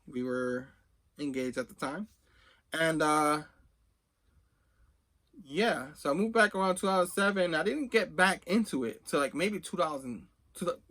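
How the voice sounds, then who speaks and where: A man's voice: on the high side, animated, moderate pace, bright, forceful tone, husky. A middle-aged man telling a personal story casually, rambling conversationally and acting out bits of remembered dialogue. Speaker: host of a comics collecting video channel.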